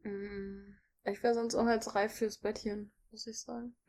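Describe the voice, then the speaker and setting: A woman's voice: medium pitch, flat delivery, measured pace, dark tone. A woman co-hosting a podcast, playing a guessing game in casual chat, teasing and laughing easily. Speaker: podcast co-host.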